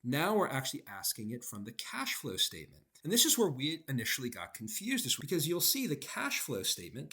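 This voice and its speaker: A man giving an explanatory software demo in an emphatic, conversational way. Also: mid-range voice. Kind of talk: explanatory product demo, semi-scripted talk.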